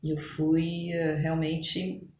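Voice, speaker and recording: A middle-aged woman talks conversationally as an interview guest, explaining things with pauses to search for words. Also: deep voice, completely flat delivery, darkish tone. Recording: echoey room, clear background noise.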